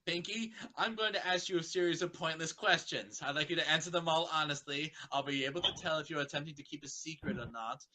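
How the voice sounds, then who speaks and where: A man's voice: a little high, quick pace, few pauses, background noise, lightly acted. A man voicing an earnest, exasperated female pony scientist in a clinical, dramatically indignant way.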